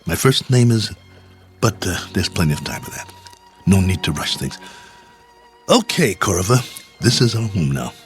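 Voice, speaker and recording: An actor playing a stranded space traveler, weary but hopeful, halting and musing in a radio drama. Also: male voice, sits low, sing-song, faint music behind. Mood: gentle, hopeful. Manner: halting, musing, talks to himself.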